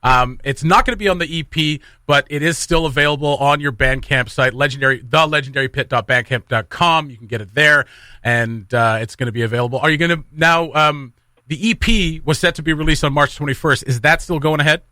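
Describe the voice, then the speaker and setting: Guy doing casual morning radio host chat, bright punchy voice, really dry close studio sound. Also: on the high side, brisk, no echo. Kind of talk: casual live radio banter and interviewing.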